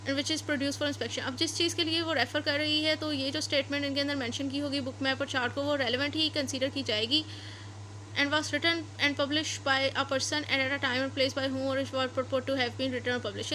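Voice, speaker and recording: A woman's voice: sits high, bright, forceful tone, slight background noise. A woman with a Pakistani accent giving an explanatory law lecture, repeating and rephrasing points in a didactic way. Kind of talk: explanatory lecture mixing Urdu with English legal terms.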